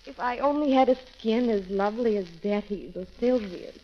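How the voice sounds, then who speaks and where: A woman's voice: medium pitch, normal speed, close to an everyday voice. An actress playing a timid, earnest young beauty-shop girl in an apologetic, hesitant 1930s radio romance style. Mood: despondent, anxious. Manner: apologetic, hesitant, breathless exclamations. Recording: muffled, crackling 1930s radio recording.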